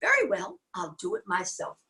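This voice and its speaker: A woman voicing a hardworking little hen in a children's story, bright and asking for help. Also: medium pitch, huge pitch swings, normal speed, vocal fry, distant mic, pitched below the performer's own voice, exaggerated voice. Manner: bright, asking, self-reliant.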